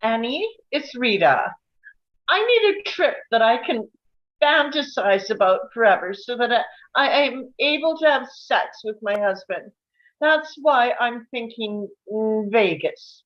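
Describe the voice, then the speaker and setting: Woman playing a brash, very animated suburban mom, muffled. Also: fairly high pitch, unhurried. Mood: frazzled, blunt. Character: brash, harried, crude suburban mother. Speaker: actor in an online script table read. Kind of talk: live comedy screenplay table read.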